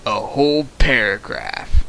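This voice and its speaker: A man's voice: mid-range voice, slow, creaky, bright, forceful tone, a little hiss or hum, poor audio. A man reading a fan fic aloud for a stream, breaking off into sarcastic comments and sudden sing-song bits. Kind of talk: comedic dramatic reading with riffing.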